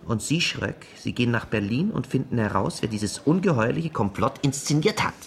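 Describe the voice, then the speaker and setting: A man's voice: medium pitch, animated, moderate pace, creaky. A man playing a pompous, embarrassed police chief in a radio crime comedy, speaking formally and evasively. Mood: self-important. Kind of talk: German radio play dialogue.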